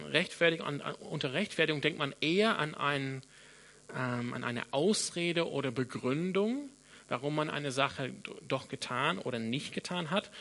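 A man from Australia preaches in German, his first language English still clear in the accent. His voice has a bright, gravelly edge. He thinks aloud as he goes, doubling back on words and restarting sentences. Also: mid-range voice. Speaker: pastor. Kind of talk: sermon.

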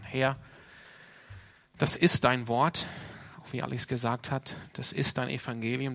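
A man's voice: mid-range voice, fairly bright tone. A man from Australia with an Australian English accent, a native English speaker, preaching an informal sermon in a plain-spoken, earnest way. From Sydney, Australia.

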